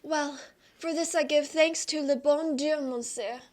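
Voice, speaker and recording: Radio drama role: humble, devout guide, played by a woman, very high-pitched. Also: steady tone, unhurried, darkish tone. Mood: grateful, devout. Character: Henri, wounded French mountain guide, reverent and grateful man. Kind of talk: radio play acting.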